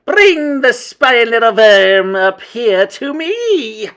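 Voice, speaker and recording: A man's voice: very high voice, big pitch swings, measured pace, bright, forceful tone, pitched above the performer's own voice, heavily acted. A man voicing a cruel, imperious female witch leader who screeches orders in a children's storybook reading. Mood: gloating, cruel.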